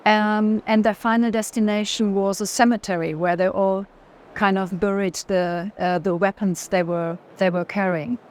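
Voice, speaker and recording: A woman talks through art in a medium-pitched voice, unscripted and conversational. She often pauses to search for a word, then rephrases and carries on. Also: steady tone. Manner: hesitant, searching for words, rephrasing. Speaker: art collector in a gallery conversation. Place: art fair.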